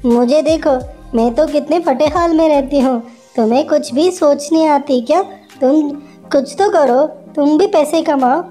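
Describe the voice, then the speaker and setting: A woman voicing a poor priest's nagging wife who scolds and demands money in a loud, high voice.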